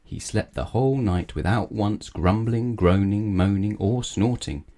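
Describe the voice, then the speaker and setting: Male storyteller, children's read-aloud, deep-leaning pitch, soft relaxed timbre. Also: a little slow.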